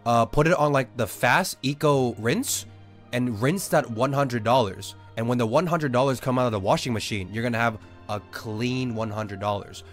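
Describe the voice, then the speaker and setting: A man's voice: medium pitch, normal speed, soft background music. A young Australian-born man with a Southern Californian accent, casually chatting and joking with viewers while playing a game. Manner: joking, swears freely, reads chat aloud. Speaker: streamer. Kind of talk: casual gaming livestream chat.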